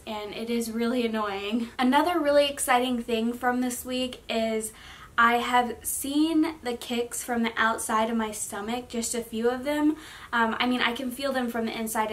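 A woman's voice: a little high, a bit bright. A young woman in her twenties chatting casually in a vlog from her bedroom, rambling with many fillers. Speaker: vlogger. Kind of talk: casual pregnancy update vlog. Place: bedroom, seated on bed.

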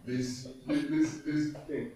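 A man's voice: on the high side, flat delivery, takes it slowly, warm tone, echoey room. An actor playing an angry, domineering, wealthy male employer with a Nigerian accent, blustering and repeating himself insistently in a film drama. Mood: stern, bossy.

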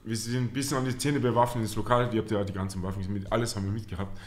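A young man telling a story as an interview guest, in colloquial and somewhat halting speech. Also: on the low side, steady tone. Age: young adult. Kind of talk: casual storytelling. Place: small indoor studio lounge. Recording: slightly echoey room.

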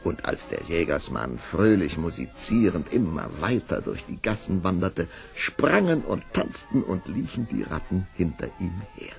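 Slow, deep-voiced male fairy-tale narrator. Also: gravelly, faint music behind. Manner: expressive, unhurried storytelling. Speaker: storyteller. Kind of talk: scripted fairy-tale narration for children's audio play. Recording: vintage audio play production.

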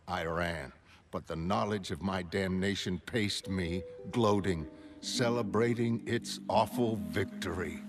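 A man voicing a slow, haunted, deep-voiced castaway. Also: steady tone. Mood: damned despair. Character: doomed shipwrecked male mariner narrating a horror tale. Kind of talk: grim gothic storybook narration in a motion comic. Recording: studio voice over prominent music.

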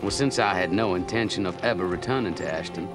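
A man's voice: sits low, fast, close to an everyday voice. An actor playing a bold, charming young man who narrates his own tall tale with wry earnestness. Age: young adult. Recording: film soundtrack with music.

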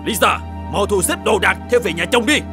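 A man's voice: high voice, fairly quick, close to an everyday voice. An actor playing a stern, angry middle-aged lord and father who scolds and blusters, in fairy-tale dubbing. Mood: scolding, resolute. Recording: music behind the voice.

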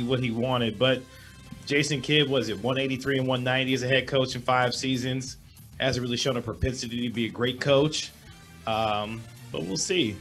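A middle-aged man with a hoarse voice, a sports reporter giving measured analysis as a guest over a video call. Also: mid-range voice, a bit bright. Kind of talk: remote sports talk interview. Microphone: webcam with desk microphone, video call.

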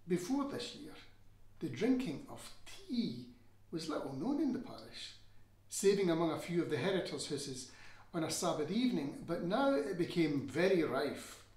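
A middle-aged Scottish man giving an expressive, old-fashioned storytelling reading of literary prose. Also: high voice. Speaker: reader of a novel excerpt. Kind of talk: expressive literary reading in Scots-flavoured English. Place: small furnished room indoors. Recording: roomy indoor echo.

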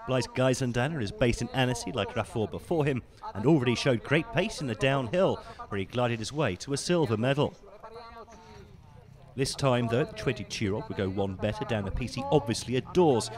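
A man giving polished, scripted voice-over commentary on ski race highlights. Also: expressive, crowd noise. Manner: measured, polished broadcast delivery. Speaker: sports commentator.

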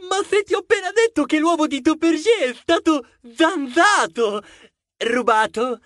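A cheeky little male mouse detective, acted by a woman, pitched very high and recorded dry and close. He is bossy and teasing, forever exclaiming and scolding his friend in a children's audio story. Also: expressive, moderate pace, no room sound. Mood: indignant, bossy.

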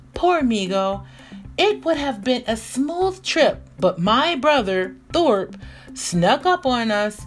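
Female children's read-aloud narrator: higher-pitched, expressive, unhurried, with music underneath. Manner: expressive, deliberate storytelling. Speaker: children's storybook reader.

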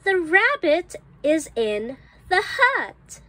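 A woman reading an early phonics book aloud for children as a teacher, enunciating each word deliberately and carefully. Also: very high voice, sing-song, a bit bright. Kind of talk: slow read-aloud of an early reader for children.

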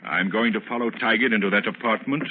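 An actor in a 1930s radio drama playing a suave, determined gentleman sleuth, urbane and assured. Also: lowish voice, fast talker, natural voice. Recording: noisy, tinny 1930s radio recording.

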